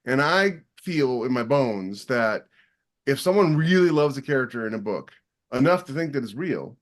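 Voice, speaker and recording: A man with an average-pitched voice talks casually as a podcast co-host. He is emphatic and tends to explain things as little stories. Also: lively intonation, moderate pace.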